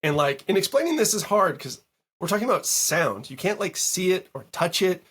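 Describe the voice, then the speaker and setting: Guy producer on a podcast, kinda hoarse, lively storytelling, a bit higher voice. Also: normal speed. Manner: animated, swearing, mock-quoting people. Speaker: guest producer. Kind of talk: casual podcast chat.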